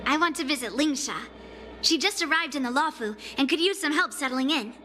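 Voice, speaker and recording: Cocky high-voiced young swordswoman, acted by a woman. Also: fairly quick, music in the background, close to an everyday voice. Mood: breezy, confident. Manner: confident, blunt. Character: cocky, blunt young girl sword prodigy. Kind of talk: video game voice acting.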